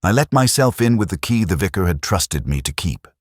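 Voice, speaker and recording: Male actor for brooding detective narrator in a radio mystery: very deep, expressive pitch movement, measured and reflective, dry sound. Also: dry recording. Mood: hushed, watchful. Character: brooding, lonely, reflective male police inspector. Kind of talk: scripted first-person mystery narration.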